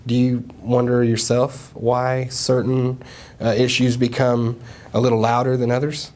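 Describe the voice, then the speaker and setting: A man hosting a news show in a broadcast studio, interviewing a guest and wrapping up in a steady, conversational way with frequent fillers. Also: medium pitch, a little slow.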